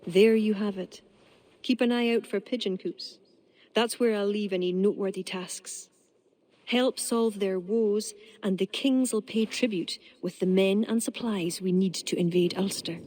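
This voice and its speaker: A woman's voice: mid-range voice, fairly even intonation, a little slow, mellow, natural voice. An actress with an Irish accent playing a witty young woman who serves as a royal fixer in a video game cutscene, with a teasing, wry delivery.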